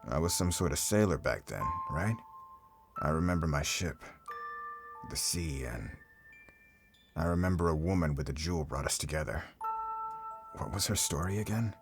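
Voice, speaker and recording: A man's voice: a bass voice, lively intonation, music in the background. A man playing a frail, forgetful old former sailor in an audio drama, hesitant, apologetic and wistful. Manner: hesitant, halting. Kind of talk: cinematic audio roleplay acting.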